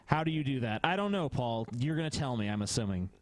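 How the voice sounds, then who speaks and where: A man's voice: mid-range voice, lively intonation, quick pace. A man chatting casually in podcast banter.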